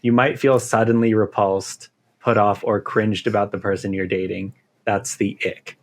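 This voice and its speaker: A man's voice: fairly low pitch, flat delivery, normal speed. A man co-hosting a podcast, talking in a casual, conversational style. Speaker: podcast co-host and editor. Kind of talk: casual chat.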